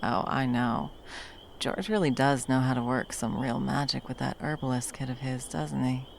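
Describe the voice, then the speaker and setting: A woman playing a battle-worn monster hunter, gruff but tenderly protective, in an intimate ASMR roleplay with soft teasing and drops into whispers. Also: extremely low pitch, moderate pace, a little hiss or hum. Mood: caring, relaxed.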